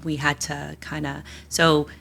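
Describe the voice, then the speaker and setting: Woman talking loosely, lots of um and like, low bright voice. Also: flat delivery, unhurried, vocal fry, slightly rough. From United States. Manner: candid, rambling with many fillers and restarts. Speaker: guest. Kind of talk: casual interview conversation.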